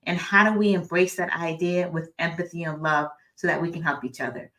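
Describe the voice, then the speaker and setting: A middle-aged woman with a slightly low voice speaks informally as a guest author and educator. She introduces herself and her work in a personal, conversational way. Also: fairly even intonation, brisk, pushed and bright.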